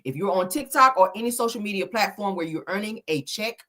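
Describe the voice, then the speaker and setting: A woman giving animated online reaction commentary in a blunt, scolding, emphatic manner, swearing freely and repeating phrases for effect. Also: mid-range voice, fairly quick. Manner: blunt, scolding, heavy profanity. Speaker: YouTuber commentating on celebrity and viral topics.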